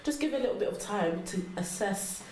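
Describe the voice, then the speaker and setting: A young adult woman explaining her view in a measured way as a guest in a casual panel discussion. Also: mid-range voice, expressive, a little mellow. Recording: echoey room, faint noise.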